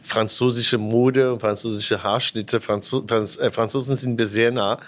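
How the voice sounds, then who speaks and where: Entspannter Gast mittleren Alters aus dem Iran, zögernd, viele Füllwörter. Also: male voice, mid-range voice, moderate pace. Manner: relaxed, hesitant, frequent fillers and restarts. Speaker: guest, celebrity hairstylist. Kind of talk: casual interview answers.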